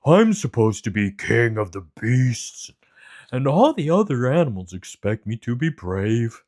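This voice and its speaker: A man voicing a big, cowardly male lion who speaks slowly and mournfully. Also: mid-range voice, sing-song, darkish tone, pitched above the performer's own voice. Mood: sorrowful. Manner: slow, drawn-out, mournful delivery.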